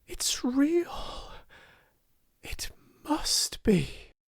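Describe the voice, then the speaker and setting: Woman voicing a breathy, high-pitched awestruck little girl. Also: sing-song, drawn-out words, no echo, studio quality, exaggerated voice. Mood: tearful joy. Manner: breathy, panting, half-whispered exclamations. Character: young girl, breathless, awestruck and overjoyed with wonder. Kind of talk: storybook character voice in audiobook reading.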